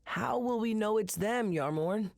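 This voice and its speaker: An actor playing an eager, determined teenage boy who leads a crew in an animated video game. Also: high-pitched, a little slow, creaky, slight background noise. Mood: curious.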